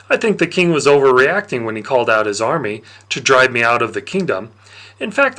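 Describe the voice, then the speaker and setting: A man voicing a plump, jovial god of wine and parties with menace underneath, in a theatrical, condescending storybook manner with drawn-out emphasis. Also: a little high, bright, forceful tone.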